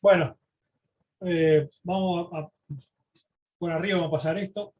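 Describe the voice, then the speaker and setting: A man giving an informal slide lecture, halting, repeating words and often adding short questioning tags. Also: sits high, fairly even intonation, darkish tone. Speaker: presenter giving a history talk.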